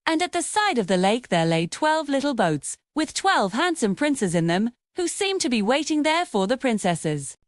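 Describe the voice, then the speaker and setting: High, animated woman storyteller. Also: dry recording, clean studio sound. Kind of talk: scripted fairy-tale narration.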